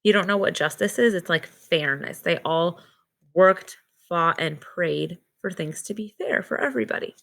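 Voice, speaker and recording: A woman reading a picture book aloud to children like a teacher, pausing to explain things in simple words. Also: fairly low pitch, vocal fry, a bit bright. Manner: simple, child-directed explaining with short phrases. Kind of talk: read-aloud storytelling with simple explanatory asides.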